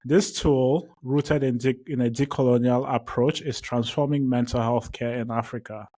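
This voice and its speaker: A man hosting a podcast, reading a guest introduction and asking questions, upbeat but halting with many fillers. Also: mid-range voice, fairly even intonation, warm tone. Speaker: podcast host. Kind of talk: scripted introduction and interview questions.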